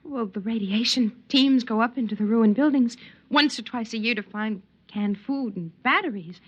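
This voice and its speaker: An actress playing a gentle, fearful young wife in an old-time radio drama, speaking earnestly and emotionally with a breathy voice. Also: female voice, on the high side, moderate pace. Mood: curious, wistful. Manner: earnest, emotional, hanging on words. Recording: dull vintage radio recording.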